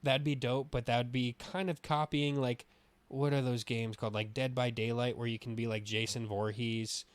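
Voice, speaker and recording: Male gaming podcast co-host, casual and opinionated, loose with swearing, average pitch, very dry sound. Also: level tone, moderate pace, no room sound.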